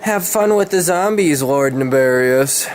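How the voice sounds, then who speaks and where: A man's voice: fairly high pitch, expressive, measured pace. Man acting out a theatrical, taunting little villain, noisy recording. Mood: gleeful taunting. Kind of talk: dramatic storybook reading. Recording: clear background noise.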